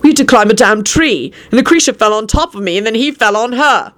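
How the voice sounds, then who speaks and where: A woman reads as a short-tempered, sarcastic man in an audiobook. He barks and grouses with an aggrieved edge, swinging between dry offence and loud outrage. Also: a little high, animated, brisk, pitched above the performer's own voice. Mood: angry. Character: irritable, sarcastic, foul-mouthed man, easily offended.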